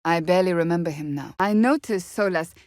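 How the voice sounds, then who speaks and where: An actress playing a stern, blunt, devout warrior woman in a fantasy video game, speaking formally and clipped with a deadpan edge. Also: on the low side, brisk, dry recording, studio quality, lightly acted. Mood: guarded, earnest.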